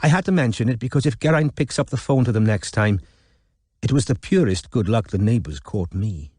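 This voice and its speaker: A man voicing an affectionate, cajoling Welsh woman with a deep voice in an audiobook. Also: expressive, brisk, darkish tone, slightly rough, dry recording, clean studio sound. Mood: insistent. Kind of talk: audiobook character dialogue.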